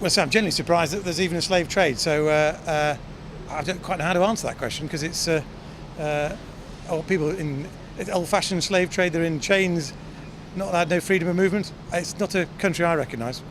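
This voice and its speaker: A man answers in a rapid, bemused way, his voice a little high. Loud street traffic surrounds him outdoors. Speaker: passer-by.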